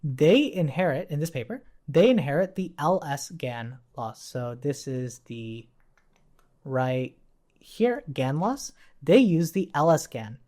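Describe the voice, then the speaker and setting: A man's voice: fairly high pitch, very animated, slow, a little mellow. A man giving a casual coding tutorial as an instructor, informally thinking aloud as he works. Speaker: programming instructor.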